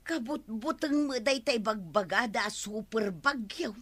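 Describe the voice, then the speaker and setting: An actress playing an exasperated farmer's wife in an Ilocano radio comedy drama, with an Ilocano accent. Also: female voice, on the high side, lively intonation, unhurried, breathy edge, no room sound. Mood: earnest.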